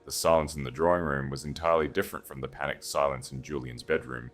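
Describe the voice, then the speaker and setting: A man's voice: a bass voice, fairly even intonation, fast, faint music behind. A man reading a dramatic scripted romance as an audiobook narrator.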